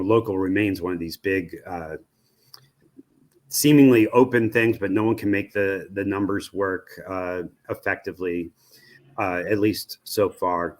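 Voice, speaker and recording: An American man giving conversational, analytical interview answers as an expert guest, thoughtful and halting, often restarting his phrases. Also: lowish voice. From United States. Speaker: media research executive, interview guest.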